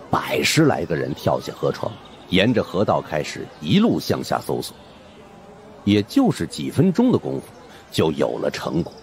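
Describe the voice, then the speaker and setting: A man's voice: low voice, a little mellow. A man narrating a story as a dramatic scripted audiobook in the first person. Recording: faint background noise.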